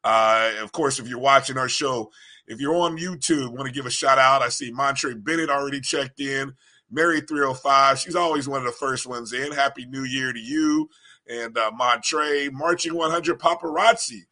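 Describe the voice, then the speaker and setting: A man hosting a casual web talk show, his voice hoarse and froggy but still bright and forceful. He rambles warmly from point to point and stumbles over repeated words as he gets going. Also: medium pitch, normal speed. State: hoarse from coaching. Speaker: podcast host. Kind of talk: casual chat.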